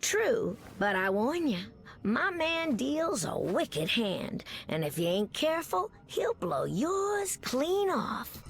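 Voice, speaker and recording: An actress playing a sassy, flirty superheroine with a Southern American accent and folksy pet names, in a video game. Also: female voice, high-pitched, very animated, slow, vocal fry, heavily acted. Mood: sassy, confident.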